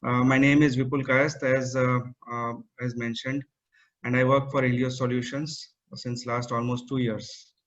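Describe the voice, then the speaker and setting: A man giving an online meetup talk, pausing often with fillers and restarting his sentences. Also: mid-range voice, little pitch movement. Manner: hesitant, many fillers and restarts. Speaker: guest speaker. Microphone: video call. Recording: muffled call audio.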